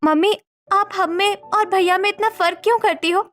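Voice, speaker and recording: A woman's voice: very high-pitched, fairly even intonation, a little fast, fairly bright tone, faint music behind, pitched above the performer's own voice. A woman voicing an aggrieved young adult daughter in a cartoon story, pleading and indignant.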